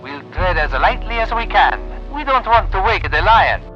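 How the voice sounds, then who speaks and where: An actor playing a cautious, teasing soldier on a night raid in a war game, heard over radio. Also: male voice, high-pitched, very animated, moderate pace, sharp tone, heavily acted. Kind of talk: war game cutscene acting. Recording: dull lo-fi radio sound, faint music.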